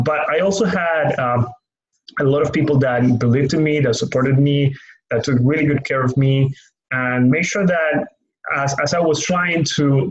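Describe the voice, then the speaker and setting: A man's voice: mid-range voice, highs cut off. A young man from Cuba with a Cuban Spanish accent, a Spanish native speaker, speaking thoughtfully and searching for words as he reflects on his life in a personal interview. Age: young adult. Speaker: lay pastoral care worker.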